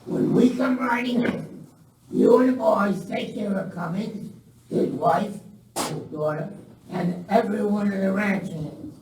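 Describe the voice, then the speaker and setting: A man's voice: sits high, unhurried. An amateur actor playing a ruthless, bumbling outlaw henchman in a live radio western. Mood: menacing, conspiring. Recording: echoey room, distant, noisy.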